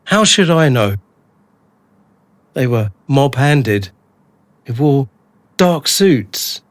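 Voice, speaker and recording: A man's voice: mid-range voice, very animated, extremely slow, no room sound. An actor playing an anxious, bewildered kidnapped family man in a radio drama, blunt and colloquial in his way of talking.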